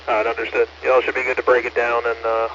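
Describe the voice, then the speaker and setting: A muffled, noisy two-way radio carries an American man acting as net control. His voice is mid-pitched and very even, matter-of-fact and courteous as he confirms details back. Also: fast. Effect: two-way amateur radio transmission. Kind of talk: procedural two-way radio traffic with read-backs.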